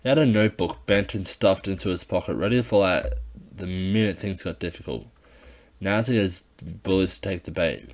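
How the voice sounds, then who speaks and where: Low-voiced man reading a story. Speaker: narrator, fan fiction reader. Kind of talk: scripted amateur audiobook narration.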